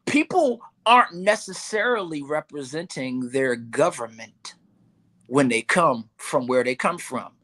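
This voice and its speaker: A man in casual podcast talk over a video call, laid-back and rambling, with frequent ums and slow, drawn-out stretches. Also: on the high side, animated, bright, forceful tone.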